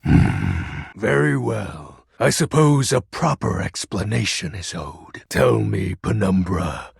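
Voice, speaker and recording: An actor playing a grandiose, nerdy, wistful self-styled dark overlord in a video game, with a husky, gravelly voice and overdramatic, archaic phrasing. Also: male voice, lowish voice, sing-song, takes it slowly, no echo, studio quality, exaggerated voice. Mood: solemn, wistful. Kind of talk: video game character acting.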